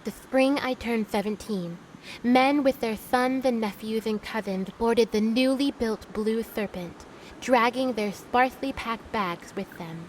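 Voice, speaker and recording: Woman playing a slow, high-voiced young seafarer. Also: slight background noise, close to an everyday voice, low-quality recording. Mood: wistful, elated. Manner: deliberate, theatrical storytelling. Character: bitter, adventurous young seafaring woman recounting her youth. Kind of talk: scripted dramatic monologue narration.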